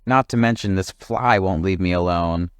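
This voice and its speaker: A man's voice: sits low, moderate pace, clear, resonant tone, no echo, lightly acted. A man voicing a grumpy, complaining monkey in a storybook, whiny and exasperated.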